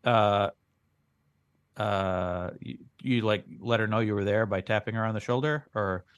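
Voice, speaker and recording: A man's voice: lowish voice, unhurried, smooth, no room sound. A man co-hosting a comedy podcast as the straight man, asking skeptical questions with hesitant, dry reactions. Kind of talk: casual comic banter, straight-man questioning.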